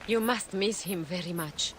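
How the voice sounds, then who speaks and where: A woman's voice: on the low side, normal speed, a bit bright, a little hiss or hum, natural voice. A woman playing a compassionate, troubled village healer with an earnest, solemn manner in a video game drama. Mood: gentle, earnest.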